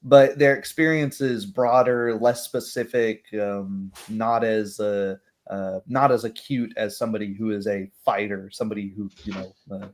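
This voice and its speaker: A man's voice: fairly low pitch, fairly even intonation, normal speed, few pauses. A man talking casually as a game master after a session, thinking aloud in a rambling way. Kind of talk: casual post-game discussion.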